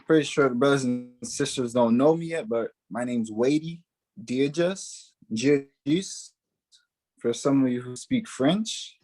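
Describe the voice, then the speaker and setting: A man's voice: mid-range voice, lively intonation, slow, darkish tone, faint music behind. A man in his early twenties, born in Haiti and raised in the United States, giving a personal spiritual testimony in an earnest way, repeating key words for emphasis.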